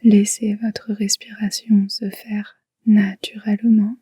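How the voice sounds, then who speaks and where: A woman guiding a yoga nidra relaxation in a soft, whispery, breathy voice, soothing and unhurried. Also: medium pitch, little pitch movement, no echo. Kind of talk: slow guided relaxation meditation.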